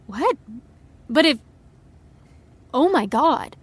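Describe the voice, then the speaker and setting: A woman playing a nervous, polite fan in an audio drama, speaking haltingly and deferentially. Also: high voice, very animated, dry recording, exaggerated voice. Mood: flustered awe. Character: nervous, polite female fan with private indignation. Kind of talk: audio drama acting, naturalistic dialogue.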